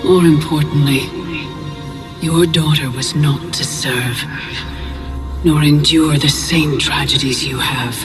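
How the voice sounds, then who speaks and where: An otherworldly, persuasive figure in a game. The voice is slightly high, formal and very deliberate, over clear music. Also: male voice, fairly even intonation. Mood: solemn persuasion. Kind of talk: video game cinematic acting.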